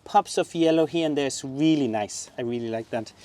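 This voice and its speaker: A man's voice: medium pitch, fairly quick, dark tone. Man, Danish accent, garden tour host, eager and exclamatory, casual chat, outdoors. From southern Denmark. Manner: enthusiastic, exclaims over plants.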